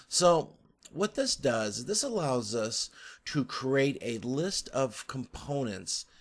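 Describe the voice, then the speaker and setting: Male instructor, mid-range voice, informal screen-tutorial read, explanatory and slightly halting, walking through material step by step. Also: medium pitch. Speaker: course instructor.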